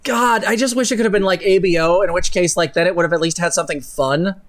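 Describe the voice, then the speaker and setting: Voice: mid-range voice, fast talker. Young streamer, mid-range voice, blunt and sarcastic, talking right into a desk mic at home. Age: young adult. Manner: blunt, emphatic, sarcastic, swears casually. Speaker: co-host of a livestream. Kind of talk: dramatic reading and opinionated reaction commentary. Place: small home room, indoors. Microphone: close desk microphone on boom arm.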